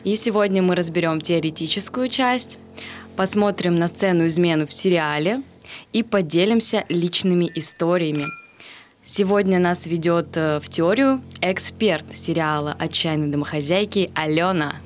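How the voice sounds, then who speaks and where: Podcast host, woman, early twenties, lowish voice, upbeat intro reader, faint noise underneath. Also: normal speed. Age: early 20s. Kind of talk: scripted podcast intro, then casual discussion.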